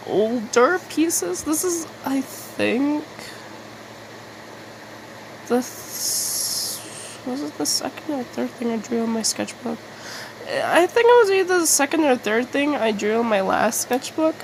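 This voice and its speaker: A woman rambling casually in a halting, self-deprecating, mildly sweary way, as an artist making a video. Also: on the high side, vocal fry, background noise.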